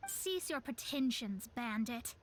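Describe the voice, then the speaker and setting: High-pitched, haughty young astrologist. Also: female voice, lively intonation, slow, a little hiss or hum. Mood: accusing, confident. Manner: haughty, formal, self-assured. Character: proud, theatrical young female astrologist, lofty and confident. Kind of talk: video game voice acting.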